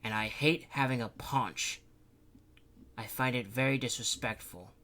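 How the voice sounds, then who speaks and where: A man's voice: medium pitch, a little slow, sharp tone. A man voicing a middle-aged councilman who feels lightheaded and weary.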